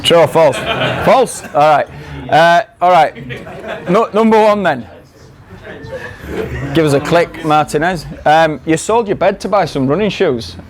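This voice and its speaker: A man's voice: on the high side, measured pace, pauses often, crowd noise. Yorkshire man with a kiwi-tinged accent, live audience talk, playful teasing delivery. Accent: Yorkshire English mixed with New Zealand. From Yorkshire, England. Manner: jokey, teasing, laughing through his lines. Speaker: guest speaker.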